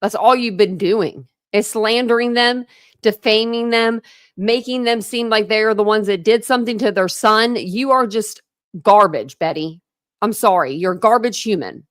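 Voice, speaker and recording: A woman's voice: mid-range voice, moderate pace, no room sound, studio quality. A woman giving casual reaction commentary on a played video, talking in a blunt, scornful way. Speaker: YouTube commentator reviewing another creator's livestream.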